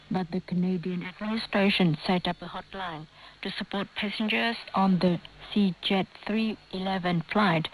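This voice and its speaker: Woman reading news over noisy shortwave radio. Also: medium pitch.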